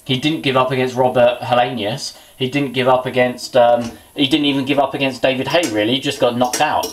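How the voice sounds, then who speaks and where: A man's voice: mid-range voice, steady tone, a little fast. A man, chatting casually about boxing while cooking in his small kitchen, rambling and muttering to himself, recorded on a camera's built-in mic. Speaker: boxing vlogger. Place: small home kitchen indoors.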